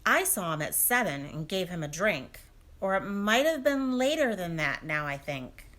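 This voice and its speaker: An actress playing a dramatic, lovelorn mistress who speaks in pointed, theatrical rhyme in a comic audio drama. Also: female voice, lowish voice, sing-song, moderate pace, fairly bright tone. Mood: wistful, mournful.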